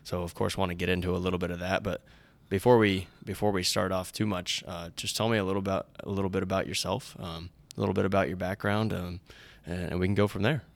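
Low, creaky-voiced American male podcast host. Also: steady tone, fast. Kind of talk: conversational podcast intro and interview.

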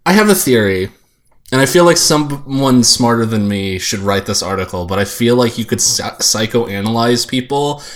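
A man's voice: medium pitch, expressive, normal speed, fairly bright tone. A man chatting casually and giving opinions as a podcast panelist, wry and rambling, often repeating words.

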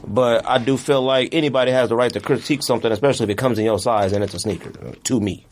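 A man's voice: medium pitch, rapid-fire. A man co-hosting a sneaker podcast, chatting casually and giving opinions in informal slang.

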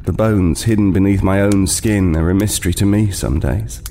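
An actor playing an uneasy homeless man who narrates his horror tale in a halting, brooding way. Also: low-pitched, creaky, dark tone, a little hiss or hum. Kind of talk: dramatic first-person horror narration. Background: music.

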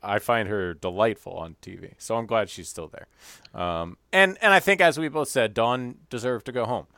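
A man co-hosting a podcast, chatting casually about a cooking show, animated and quick to laugh at his own jokes. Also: on the low side, no echo, clean studio sound. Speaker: podcast co-host. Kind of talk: casual chat.

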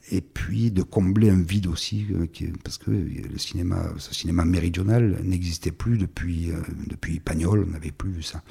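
Gravelly, deep southern French man. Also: mellow. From southern France. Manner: halting, many restarts and fillers. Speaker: filmmaker. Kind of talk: recounting his career.

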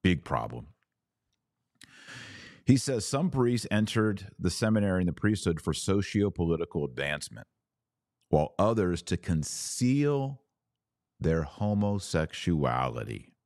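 Man, very deep voice, podcast news commentary, emphatic, informal asides, dry sound. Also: very animated, measured pace, pauses often, darkish tone, no room sound.

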